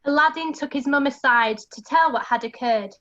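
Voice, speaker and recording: Casting: female performer as cheeky youngster telling a rhyming panto tale, high, bright voice, slightly dull recording. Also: moderate pace. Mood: storytelling.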